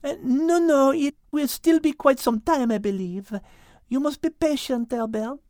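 A dainty, bookish French cat for a storybook podcast. The voice is high and very dry, with no room sound. The delivery is formal and precise, a little prim and very sure of its own intellect. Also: female voice, measured pace, dark tone, no room sound. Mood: reassuring. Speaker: podcast co-host in character. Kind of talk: audiobook character voice and in-character banter.

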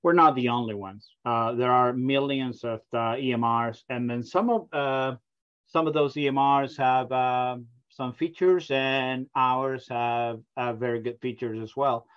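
A middle-aged man from Argentina with an Argentine Spanish accent, a software company founder who talks in a hesitant way with many fillers in a remote interview. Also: mid-range voice, a little slow, smooth, dull audio. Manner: hesitant, many ums and uhs.

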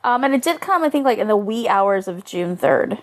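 A woman with a mid-pitched voice chats as a podcast co-host. She talks informally and haltingly, restarting her phrases and leaning on "like" as she goes. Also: animated. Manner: halting, filler-heavy, lots of "like". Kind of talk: casual chat, animated recap.

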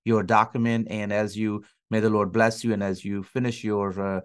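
Low-voiced man hosting a faith podcast. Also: flat delivery, moderate pace, smooth. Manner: warm-up chatter with many uh fillers, slightly formal phrasing. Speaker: podcast host and senior pastor. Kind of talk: casual interview.

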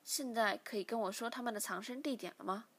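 A woman's voice: fairly high pitch, fast. A woman reading a ruthless male squad captain. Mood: menacing. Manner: curt, coldly menacing.

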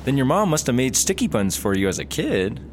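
Excitable, eager young traveler, man playing, lively pitch, noisy plane-cabin background. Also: a little fast, lightly acted. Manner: excitable, pitch jumps high when excited. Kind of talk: comedy audio drama acting.

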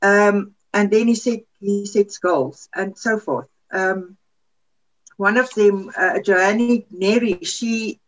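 A woman's voice: mid-range voice, slow pace. Woman with a lo-fi sound. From South Africa. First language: Afrikaans. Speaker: podcast panelist. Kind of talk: casual chat.

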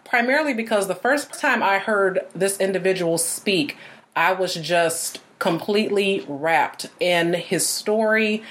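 A woman's voice: mid-range voice, unhurried, fairly bright tone. A woman hosting a faith-themed business podcast, introducing her guest and asking questions in an upbeat, polished way. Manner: upbeat, polished, lilting delivery. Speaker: podcast host.

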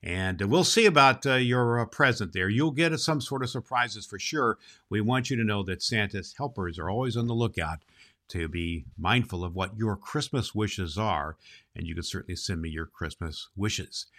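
Man playing bright, kindly old Santa Claus. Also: on the low side, animated, moderate pace. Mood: cheerful, affectionate. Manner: emphatic, affectionate, addressing boys and girls. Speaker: storybook reader and show host. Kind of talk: children's story reading and hosting.

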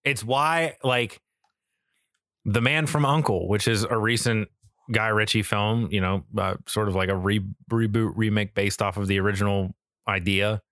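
An American man in his thirties with a lowish, bright, forceful voice in a very dry recording. He talks casually and eagerly as a podcast co-host, rambling along and swearing freely. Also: steady tone, moderate pace, no echo. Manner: enthusiastic, rambling, profane. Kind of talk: casual chat.

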